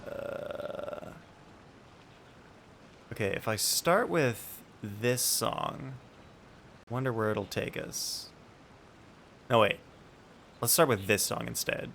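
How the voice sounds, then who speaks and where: A man's voice: mid-range voice, expressive, takes it slowly, creaky, pauses often, fairly bright tone, a little hiss or hum. A man chatting casually on a game livestream, informally thinking aloud as he plays. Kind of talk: casual livestream gaming chat. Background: music.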